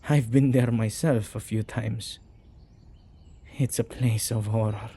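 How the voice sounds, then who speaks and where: A husky, low-lit male witch acted by a man talks intimately to one listener in an ASMR roleplay. His gravelly voice is dark and mellow, with hesitant pauses and teasing asides. He comes across as a caring, excitable keeper of spirits. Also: heavily acted. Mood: troubled, grave. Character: possessive male witch who befriends ghosts, excitable and caring.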